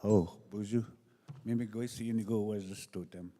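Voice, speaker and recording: A man's voice: on the low side, fairly even intonation, moderate pace, dark tone. An older man making plainspoken, hesitant remarks from his seat at a public assembly, over a hall sound system. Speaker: audience member raising a concern. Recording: echoey large hall over PA.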